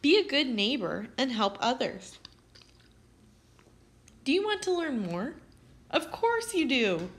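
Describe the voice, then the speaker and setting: A woman reading aloud as a teacher, with expressive, carefully phrased delivery aimed at young children. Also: sits high, slow, long pauses. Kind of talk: children's read-aloud narration.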